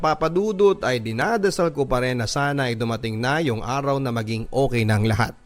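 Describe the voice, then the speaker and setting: A Filipino man narrates a heartfelt letter in the manner of a radio drama host. His mid-pitched voice is earnest and sentimental, with soft music running underneath. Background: background music.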